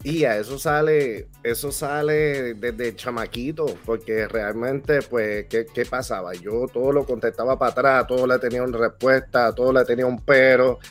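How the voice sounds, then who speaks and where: A man with a Puerto Rican accent, a music producer talking as an interview guest in lively, slangy street talk. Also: medium pitch, moderate pace, few pauses. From Puerto Rico. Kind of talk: casual interview.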